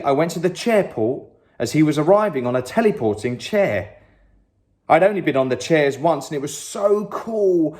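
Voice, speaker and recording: Slow, expressive man reading a story. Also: on the high side. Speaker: story reader. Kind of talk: reading a children's story aloud.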